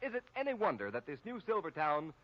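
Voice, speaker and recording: A man's voice: a little high, sing-song, quick pace. A male radio announcer reading a scripted 1930s advertisement in an emphatic, salesmanlike way. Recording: muffled vintage radio broadcast.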